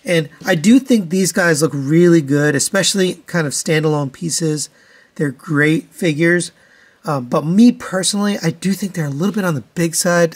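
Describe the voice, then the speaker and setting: Higher-pitched man casually reviewing toys. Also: breathy edge. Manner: methodical, matter-of-fact, hands-on demonstrating. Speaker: toy reviewer. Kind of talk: casual product review commentary.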